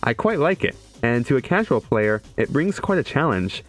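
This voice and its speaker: A man's voice: medium pitch, sing-song, normal speed. Sarcastic young man over faint music. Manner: sarcastic, irreverent, profane. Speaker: video game video creator. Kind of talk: joking scripted game commentary.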